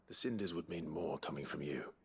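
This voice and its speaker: Deep-voiced, measured hero. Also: male voice, slightly rough, heavily acted. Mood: calm, sincere. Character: calm, noble, kindly male warrior hero. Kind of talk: dramatic video game dialogue. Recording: music under the voice.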